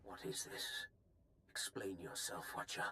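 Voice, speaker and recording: An actor playing a vengeful, grieving Sith lord admiral who gives menacing, contemptuous commands in a game. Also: male voice, sits low, measured pace, bright, forceful tone, a little hiss or hum, heavily acted.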